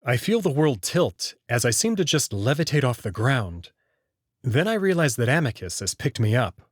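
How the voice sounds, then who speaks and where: Husky, expressive male narrator. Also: mid-range voice, moderate pace, no room sound, studio quality. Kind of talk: scripted first-person visual novel narration.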